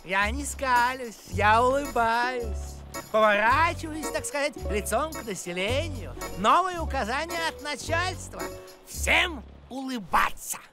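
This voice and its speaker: Lively intonation, officious village postman, pompous, sly, cartoon delivery, music behind. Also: very high-pitched. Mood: smugly polite. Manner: officious, pompous, drawn-out phrases. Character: meddlesome, officious village postman, slyly self-satisfied. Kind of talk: animated cartoon voice acting.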